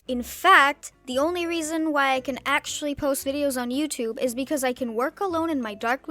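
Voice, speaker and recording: A woman's voice: sits high, bright, forceful tone. A teenage girl from Turkey delivering a scripted vlog monologue in a wry, sarcastic way, with sudden comic outbursts. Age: teens. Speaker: YouTuber.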